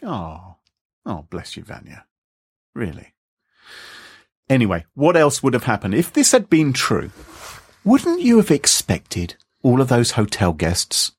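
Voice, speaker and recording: A man hosting a podcast in casual chat, animated, digressive and teasing as he talks. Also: mid-range voice, measured pace, pauses often, slightly rough. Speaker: podcast host.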